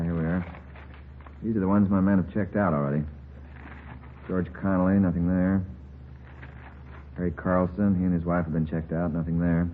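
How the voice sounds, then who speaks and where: An actor playing a cautious, businesslike police chief with plain-spoken authority in a 1950s radio drama. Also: male voice, sits low, natural voice. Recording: muffled tinny old radio recording, faint hiss.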